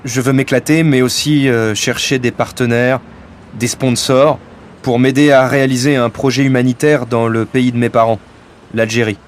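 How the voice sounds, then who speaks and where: A man's voice: medium pitch, fairly even intonation, moderate pace, a little hiss or hum, natural voice. An actor playing an earnest young man with big plans in a scripted lesson dialogue. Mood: earnest, hopeful.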